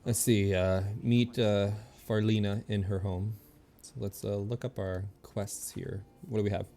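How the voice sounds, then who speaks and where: A low-voiced man with a lot of fry and a mellow tone, streaming a game. He thinks aloud casually and easily, with faint game music behind him. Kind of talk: casual play-by-play chat, reading game text aloud.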